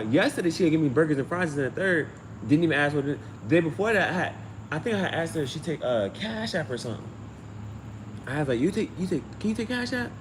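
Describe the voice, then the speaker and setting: A man's voice: sits high, slight background noise, low-quality recording. A man telling personal stories in a casual livestream style, slangy and profane, often repeating phrases as he thinks aloud. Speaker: amateur tarot reader and channel host.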